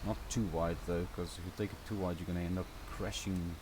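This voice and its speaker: A man with a South African accent, a native Afrikaans speaker, giving a relaxed, rambling gameplay tutorial with casual asides. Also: low voice, a little fast, noisy background. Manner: relaxed, rambling and chatty, with fillers and light grumbling. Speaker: gaming channel host.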